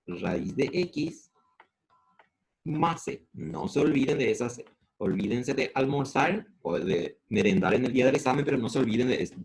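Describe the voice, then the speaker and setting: A man with a Paraguayan Spanish accent teaching integrals step by step, asking the class questions and answering them himself. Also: medium pitch. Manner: asks the class questions and answers them, slows down over formulas. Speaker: math teacher.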